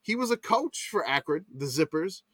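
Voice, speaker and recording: A man's voice: a little high, very animated, normal speed, fairly bright tone, breathy edge, no echo. A man from Louisiana with a Louisiana accent, rambling casually through sports history on a podcast and laughing at himself. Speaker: podcast co-host. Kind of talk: casual chat.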